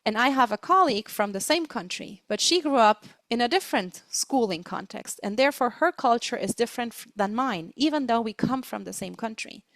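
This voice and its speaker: A woman giving an explanatory webinar lecture as a trainer, speaking deliberately and stressing her key words. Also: a little high, a bit bright, no room sound. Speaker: webinar presenter, interpreting trainer.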